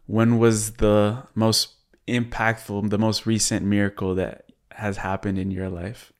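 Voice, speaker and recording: Man, clean smooth voice, slightly low pitch, reflective interviewer, conversational podcast hosting. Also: little pitch movement, measured pace.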